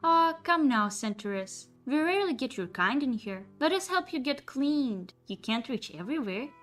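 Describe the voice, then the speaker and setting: A woman's voice: high voice, big pitch swings, normal speed, fairly bright tone, soft background music, voice pushed up. A woman voicing a polite, teasing female creature who works as a bathhouse attendant in a fantasy story. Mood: coaxing.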